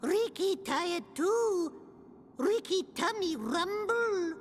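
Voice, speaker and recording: A woman's voice: very high-pitched, lively intonation, takes it slowly, slight background noise, full character voice. A woman voicing a small, cute, childlike male creature in a video game, talking in babyish broken speech. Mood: whiny, tired. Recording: faint game music behind.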